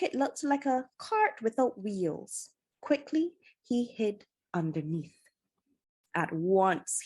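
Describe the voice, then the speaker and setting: A woman reading a children's adventure novel aloud as scripted narration, with expressive, dramatic storytelling. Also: mid-range voice. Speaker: storybook reader.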